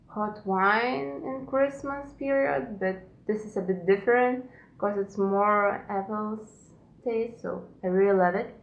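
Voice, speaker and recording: Slow-talking woman with a Lithuanian accent. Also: medium pitch, echoey room, lo-fi sound. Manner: unhurried, careful non-native English with pauses. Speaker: knitting podcast host. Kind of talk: casual solo podcast chat. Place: her home, indoors.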